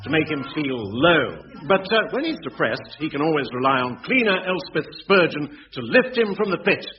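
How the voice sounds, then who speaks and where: Animated male radio comedy announcer. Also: a little high. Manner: deliberate comic pauses. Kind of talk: scripted sitcom introduction. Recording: muffled radio, distant, music behind. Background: music.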